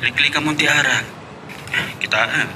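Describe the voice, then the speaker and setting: A man's voice: a little high, normal speed, bright, forceful tone, slight background noise. A man acting an earnest young office worker in a radio romance drama, with an Ilocano accent.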